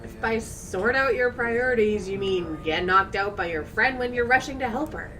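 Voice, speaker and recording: A woman playing a gruff, blunt, scolding friend in an audio drama. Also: fairly high pitch, normal speed, bright, forceful tone. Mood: annoyed. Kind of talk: audio drama character acting. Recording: slightly distant with faint noise.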